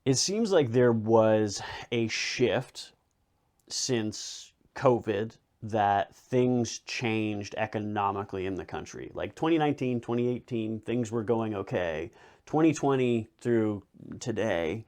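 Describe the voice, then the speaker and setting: A man hosting a conversational interview, speaking earnestly and in long, measured sentences. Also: fairly low pitch, lively intonation, a little mellow. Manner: earnest, measured, runs on with many clauses. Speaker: podcast host.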